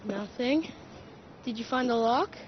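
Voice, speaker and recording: Woman voicing a high-pitched cheeky boy. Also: a little slow, lightly acted. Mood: eager. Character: cheeky, stubborn French street child, small boy. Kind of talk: video game cutscene acting. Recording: muffled game audio, clear background noise.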